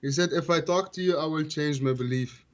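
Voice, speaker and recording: Brief: man calling into a show, halting and filler-heavy, dull lo-fi audio. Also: a little high. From Tunisia, raised in Germany. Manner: hesitant, many fillers. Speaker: caller. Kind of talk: phone-in conversation.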